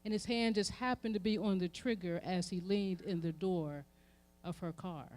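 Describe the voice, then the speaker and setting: A woman with an American accent delivers an impassioned sermon, using emphatic repetition and swelling from hushed to forceful. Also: on the low side, normal speed. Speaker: reverend speaking at a memorial event. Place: live event before an audience.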